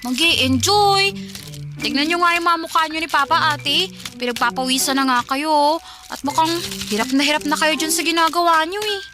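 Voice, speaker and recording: A woman's voice: high-pitched, normal speed, over music, natural voice. A woman acting as a carefree, bratty eighteen-year-old younger sister in a radio drama, flippant and whiny. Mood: dismissive, teasing.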